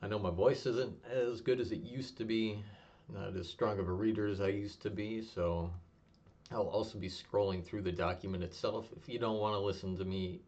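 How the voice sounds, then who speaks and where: A young man reading his own spoken word poem in a low-key, even delivery he calls monotonous. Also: moderate pace. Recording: dull lo-fi home recording.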